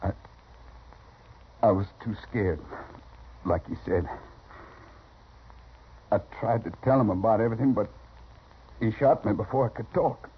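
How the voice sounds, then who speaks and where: An actor playing a weak, frightened, dying cowboy with halting country speech in a 1950s radio western. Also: male voice, lowish voice, natural voice. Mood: weak, pleading. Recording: noisy, muffled 1950s radio recording.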